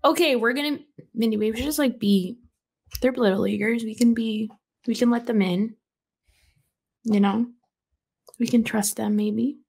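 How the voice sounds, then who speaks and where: A woman's voice: a little high, steady tone, normal speed, vocal fry, pauses often, a little mellow, husky. Flustered earnest woman player. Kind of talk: in-character roleplay in a tabletop game.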